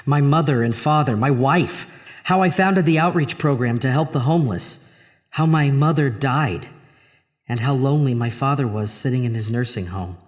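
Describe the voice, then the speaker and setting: Male actor, haunted everyman, mid-pitched voice, first-person horror narration, dramatic storytelling, audio drama. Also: normal speed, long reverb tail, close to an everyday voice. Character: Arthur, troubled married man haunted by a drain.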